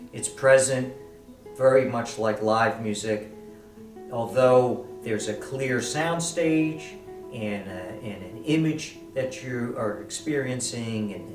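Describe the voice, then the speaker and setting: A man giving a spoken product review as an equipment reviewer, with measured, deliberate phrasing. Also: mid-range voice. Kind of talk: spoken product review to camera, semi-scripted. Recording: roomy echo, distant from the mic. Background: quiet plucked guitar or lute music.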